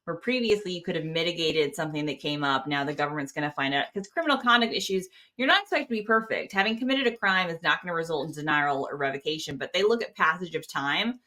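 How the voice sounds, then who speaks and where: A woman with an American accent explaining things informally as an interview guest, in an animated, colloquial way. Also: low voice, fast talker, hardly any pauses. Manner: animated, colloquial, quotes imagined speakers. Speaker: interview guest, security clearance expert.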